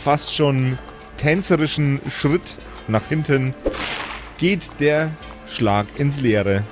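A man's voice: fairly high pitch, slow, over music. A man narrating an improvised tabletop role-playing audio game as game master.

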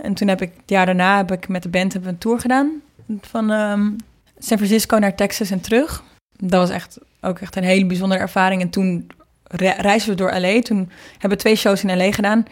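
A woman talking casually as an interview guest, telling anecdotes and acting out bits of dialogue. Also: fairly low pitch. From Netherlands. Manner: informal, anecdotal, re-enacts dialogue. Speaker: singer and producer, podcast guest.

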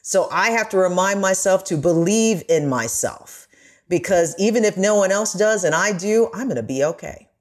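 A woman's voice: mid-range voice, expressive, normal speed. A middle-aged woman, a journalist guest, speaking earnestly and emphatically in a conversational interview.

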